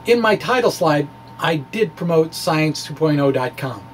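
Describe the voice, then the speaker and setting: High-pitched man reading a physics blog aloud. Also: lively intonation, slight background noise. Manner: informal, folksy, halting explanations. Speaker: amateur physicist presenter. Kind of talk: dramatic reading of a physics blog, conversational asides.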